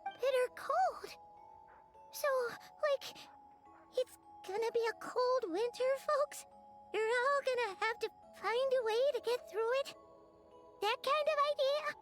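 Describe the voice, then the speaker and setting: Tiny squeaky childlike fairy companion. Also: female voice, slow pace, over music. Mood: curious, dismayed. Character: small cheerful childlike floating fairy companion, female. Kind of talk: video game character dialogue.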